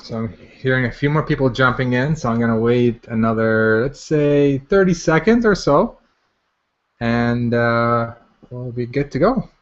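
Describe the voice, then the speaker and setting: A man's voice: mid-range voice, unhurried. A man hosting a live product launch webinar in a casual, unscripted way, informally repeating his phrases as he goes. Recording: dull, lo-fi webinar audio.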